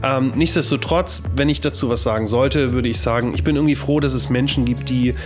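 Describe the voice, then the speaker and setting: A man's voice: mid-range voice, brisk, music in the background. A man hosting a podcast, talking casually with lots of filler sounds while he has a cold. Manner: chatty, many filler sounds. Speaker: podcast host. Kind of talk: casual podcast intro and interview.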